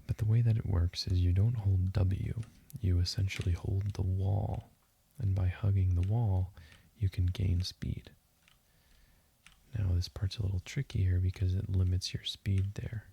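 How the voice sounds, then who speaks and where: Low, dark-voiced man, slow and hushed. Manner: hushed and breathy, drifting into whisper; calm, deliberate delivery. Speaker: gaming video host. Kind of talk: slow, soothing sleep-meditation game commentary. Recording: faint hiss with keyboard and mouse clicks. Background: keyboard typing and mouse clicking.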